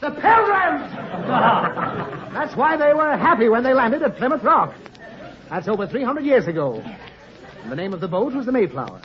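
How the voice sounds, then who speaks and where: A man's voice: extremely high pitch, very animated, normal speed, some chatter behind. An actor playing a long-suffering father, pedantic and flustered, in old radio comedy. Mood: flustered, exasperated. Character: exasperated, long-suffering father explaining things to his daughter. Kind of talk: 1940s radio comedy acting. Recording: muffled noisy old radio recording, studio audience.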